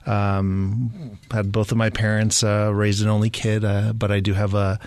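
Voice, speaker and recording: Low, dry-sounding middle-aged American man. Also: little pitch movement, unhurried, darkish tone, no room sound. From Southern California, United States. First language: Spanish. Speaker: police sergeant, interview guest. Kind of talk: casual conversational interview.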